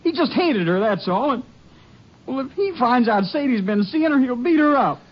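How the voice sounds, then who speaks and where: An actor in a 1950s radio drama playing a frightened teenage farm boy with an anxious, breathy voice. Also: very high voice, expressive, normal speed. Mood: worried. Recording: dull lo-fi old radio recording.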